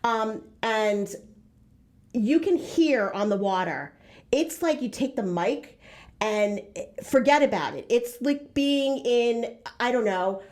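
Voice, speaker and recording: A middle-aged woman from New York co-hosting a casual show, telling stories in a loud, animated way. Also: fairly high pitch, slow pace. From Westchester, New York. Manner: loud, animated, laughing storyteller. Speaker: co-host, real estate office owner. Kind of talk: casual chat.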